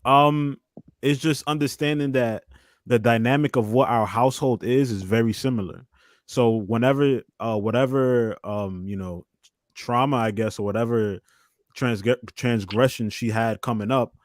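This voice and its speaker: A man's voice: medium pitch, moderate pace, no echo. Young man in casual chat, dry sound. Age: 20s. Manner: informal, slangy speech. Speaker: guest on a relationship panel.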